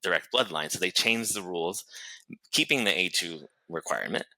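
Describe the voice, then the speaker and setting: A man with a Boston accent, a guest on a podcast, telling his story in fast, chatty talk full of fillers and restarts. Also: sits low, animated, bright, forceful tone, dry recording, poor audio. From New Bedford, Massachusetts, USA. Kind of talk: casual interview chat.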